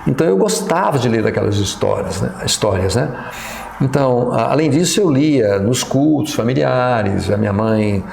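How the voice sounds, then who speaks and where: A middle-aged Brazilian man with a gravelly, creaky voice, reminiscing slowly in an interview. He hesitates and restarts often, padding his thoughts with fillers. The room is echoey, with faint noise behind him. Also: medium pitch, darkish tone. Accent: Brazilian Portuguese. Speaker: pastor and professor interviewee.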